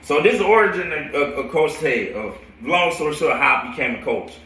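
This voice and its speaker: A man in his late twenties with an American accent talks like a coach swapping stories, loose, slangy and repetitive. His voice is slightly high and has a bright, punchy edge. It sounds picked up from a little way off the microphone. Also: expressive, fairly quick, a little hiss or hum. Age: late 20s. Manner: slangy, rambling, repeats phrases, acts out quotes. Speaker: football coach telling his own stories to viewers. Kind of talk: casual storytelling livestream. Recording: roomy echo, distant from mic.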